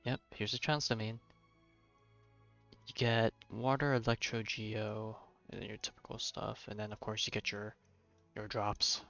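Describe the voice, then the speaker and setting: A man's voice: lowish voice, flat delivery, a little slow, a bit bright, highs cut off, faint music behind. A man livestreaming a game with casual, informal commentary, thinking aloud and reading on-screen text.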